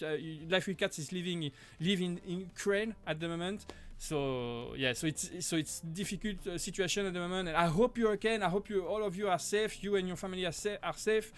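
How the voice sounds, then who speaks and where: A man with a French accent, hosting a casual live-stream Q&A and answering viewer questions, with many halting restarts and self-corrections. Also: high voice. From Switzerland. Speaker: live-stream host, prop maker.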